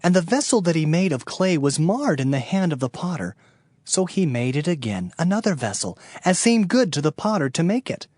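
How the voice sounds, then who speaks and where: A man giving a dramatized scripture narration as an audio Bible narrator, solemn and declamatory with deliberate phrasing. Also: on the high side, very animated, darkish tone, dry recording, clean studio sound. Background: background music.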